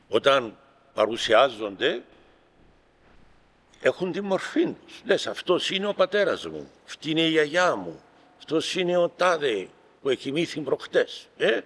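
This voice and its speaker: Greek Cypriot bishop preaching in a reverberant hall. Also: male voice, on the high side, a bit bright. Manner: deliberate, emphatic, pausing between short phrases. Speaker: Orthodox bishop giving a homily. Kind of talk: sermon, reading aloud from a book with commentary. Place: large reverberant room at a gathering. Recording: reverberant hall with long echo.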